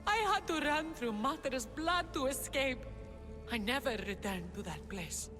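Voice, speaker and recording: A woman playing a brave young rebel leader and huntress in a video game, haunted yet tender, speaking in an earnest, measured, dramatic way. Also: high voice, expressive, sharp tone, heavily acted. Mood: anguished. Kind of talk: cinematic video game acting. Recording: cinematic music behind the voice. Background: music.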